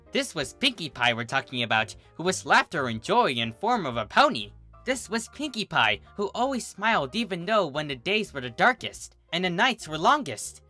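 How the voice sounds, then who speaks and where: Low, lively woman storyteller. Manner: expressive, dramatic read-aloud. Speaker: fanfiction reader. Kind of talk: storybook narration.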